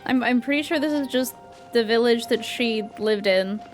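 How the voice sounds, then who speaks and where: A woman's voice: a little high, normal speed, soft background music. A woman game streamer giving casual, informal and reactive commentary as she plays.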